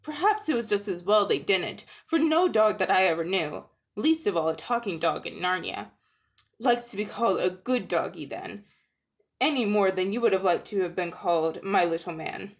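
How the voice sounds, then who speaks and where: A woman narrates a storybook in a dramatic reading style. Her pitch moves around freely and expressively, and she now and then stumbles over a phrase. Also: animated, normal speed. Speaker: amateur audiobook reader.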